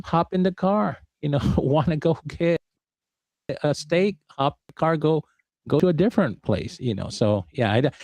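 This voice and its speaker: A man chatting casually as a panel guest in a halting way, often repeating his words. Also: mid-range voice, darkish tone, dry recording. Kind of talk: casual chat.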